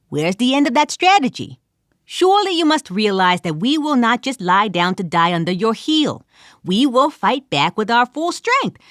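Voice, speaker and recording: A woman's voice: a little high, no room sound, clean studio sound. A woman voicing an earnest adventurer who gives a grand, formal plea for peace.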